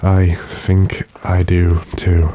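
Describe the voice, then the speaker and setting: An actor playing a composed, authoritative gentleman investigator in a radio drama, measured and deliberate. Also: sits low, completely flat delivery. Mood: probing. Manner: measured, deliberate, matter-of-fact. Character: calm, authoritative gentleman investigator addressed as sir. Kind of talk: classic radio drama acting.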